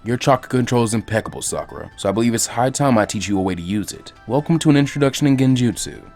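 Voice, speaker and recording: A man voicing a calm, laid-back masked male ninja teacher with a mildly teasing manner. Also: medium pitch, quick pace, faint music behind, close to an everyday voice. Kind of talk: fan fiction reading.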